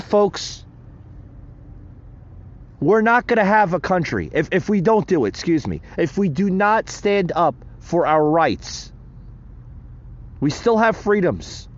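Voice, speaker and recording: Emphatic man, lively pitch. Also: dull audio. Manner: emphatic, rousing delivery addressing "folks". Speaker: podcast host. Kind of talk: solo political podcast monologue.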